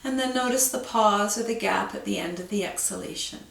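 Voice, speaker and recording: A woman teaching yoga, guiding a breathing meditation with slow, calm, soothing instructions. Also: medium pitch, vocal fry, roomy sound, distant mic.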